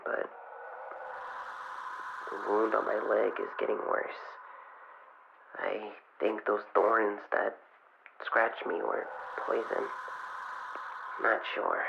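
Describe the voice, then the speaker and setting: A man playing a frightened young fox boy who records a halting, weary log in an audio drama. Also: on the low side, flat delivery, creaky, heavily acted. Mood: fearful, resigned. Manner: halting, hesitant, weary delivery. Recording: muffled noisy handheld radio recording.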